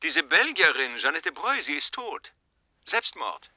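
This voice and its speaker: A man's voice: on the high side, sing-song, unhurried, sharp tone, exaggerated voice. An actor in a German radio play, playing a cynical, bitter male colleague who needles with biting sarcasm. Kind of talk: German radio drama acting.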